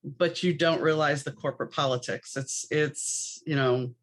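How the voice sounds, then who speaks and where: A woman's voice: low voice, fairly even intonation, normal speed. Chatty woman hosting a podcast. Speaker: podcast host. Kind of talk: conversational podcast interview.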